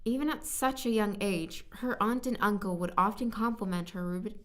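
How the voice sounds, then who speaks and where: A woman recording scripted story narration as a voice actor, halting at times and repeating lines. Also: mid-range voice, a bit bright. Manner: halting, stumbling over words and repeating takes.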